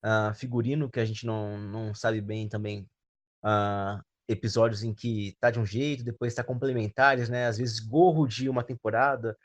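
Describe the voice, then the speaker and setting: A man's voice: lowish voice, fairly even intonation, normal speed. A Brazilian man thinking aloud in a casual roundtable discussion. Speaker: panelist on a fan roundtable.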